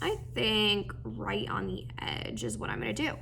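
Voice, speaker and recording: A woman's voice: mid-range voice, wildly swooping pitch, moderate pace, vocal fry, a bit bright, faint music behind. A woman teaching an art project to children in a casual, encouraging, instructional way. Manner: encouraging, casual, addresses listeners as guys. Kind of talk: friendly instructional how-to for kids.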